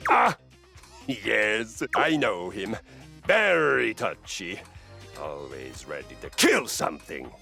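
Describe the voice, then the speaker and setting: An actor playing a boastful, swaggering male warrior who coaches fitness, in a booming, theatrical game voice. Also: big pitch swings, slow, vocal fry, pushed and bright, faint music behind, exaggerated voice. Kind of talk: game voice acting.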